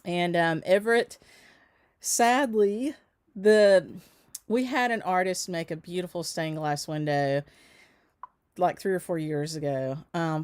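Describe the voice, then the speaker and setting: Woman host, lower voice, dry close mic; easy, rambling chat with viewers, lots of ums. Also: clear, resonant tone, no room sound. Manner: chatty, greets viewers by name, frequent ums and laughs. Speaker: shop owner hosting a livestream. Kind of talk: casual livestream chat.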